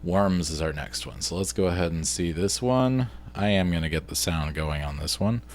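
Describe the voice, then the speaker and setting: A man's voice: sits low, normal speed. A man narrating a casual screen-share vlog, informally thinking aloud as he works through tasks on the computer. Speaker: vlogger and video editor.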